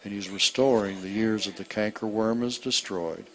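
A pastor guiding a church service, encouraging people and calling out praise between prophetic words. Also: male voice, fairly low pitch, fairly even intonation, normal speed, poor audio. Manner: encouraging, calls out praise and amens.

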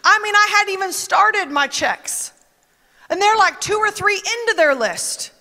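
A woman preaching with a very high, bright voice in a chatty, emphatic way, often quoting others as she goes. Her words ring out with a long reverb, as if from a large church hall. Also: expressive, a little slow. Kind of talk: conversational sermon.

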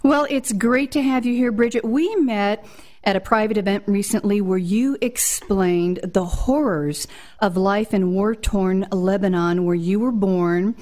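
A woman's voice: mid-range voice, a little slow, a little mellow. Dramatic woman radio host. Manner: dramatic, emphatic delivery. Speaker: show host. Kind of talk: scripted radio-show introduction and interview.

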